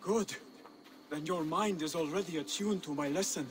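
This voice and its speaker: An actor playing an earnest young assassin mentor in a video game, speaking in measured, formal, instructive phrases. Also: male voice, fairly high pitch, over music, natural voice. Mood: solemn, encouraging. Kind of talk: video game cutscene acting.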